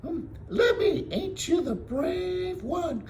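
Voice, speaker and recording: A feisty, motherly storybook girl who trains bears , played by a man in a very high, shrill falsetto. She fusses and scolds in folksy phrases, loud and swooping, over some background noise. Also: very animated, takes it slowly, creaky, heavily acted. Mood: fussing, reassuring.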